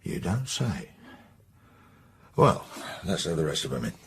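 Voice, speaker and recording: Casting: deep-voiced English police inspector, bluff, suspicious, grumbling, radio drama with background ambience. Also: male voice, sing-song, measured pace. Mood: sceptical. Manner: bluff, colloquial. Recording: clear background noise and ambience.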